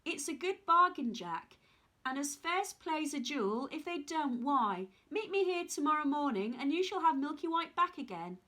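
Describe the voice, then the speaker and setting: Lady doing a odd little old man from a fairy tale, chuckly wheeler-dealer, really high and bouncy. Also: very animated, normal speed, pushed and bright, exaggerated voice. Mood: persuasive. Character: peculiar little old man, chuckling, sly and cheerful bargainer.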